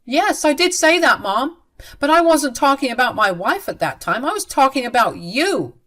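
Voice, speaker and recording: A woman's voice: medium pitch, lively intonation, normal speed, a bit bright, lightly acted. A woman voicing an angry adult son who speaks in a stern, confrontational way in an audio story. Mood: firm, confrontational. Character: angry adult son, firmly defending his wife.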